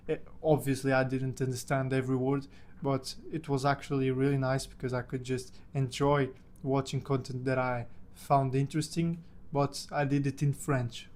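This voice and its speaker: A man's voice: medium pitch, a little mellow. A young man from Portugal, a native Portuguese speaker, casually telling a personal story about himself in English. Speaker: language-learning content creator.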